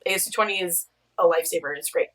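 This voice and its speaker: A woman's voice: fairly low pitch, rapid-fire, fairly bright tone, distant mic. A woman chatting casually as an interview guest over a video call, explaining things in a chatty, easygoing way. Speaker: podcast creator and showrunner, interviewed guest. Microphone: video call mic.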